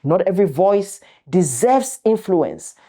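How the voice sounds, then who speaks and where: Motivational speaker, woman, middle-aged. Low, warm dark voice, deliberate delivery, emphatic pauses. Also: big pitch swings, breathy edge. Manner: deliberate, emphatic, pausing between short phrases. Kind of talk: motivational talk to an audience of viewers.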